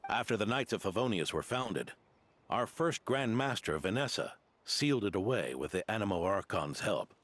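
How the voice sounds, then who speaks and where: An actor playing a grave, weathered older knight commander in a video game, speaking in a solemn, measured way. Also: male voice, animated, a bit bright, no room sound. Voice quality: deep, gravelly.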